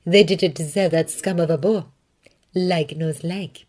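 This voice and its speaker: A woman's voice: lowish voice, fairly even intonation, measured pace, a little mellow. A woman voicing a shrewd Mandalorian woman mercenary with a dry, knowing manner. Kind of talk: fan fiction audiobook reading.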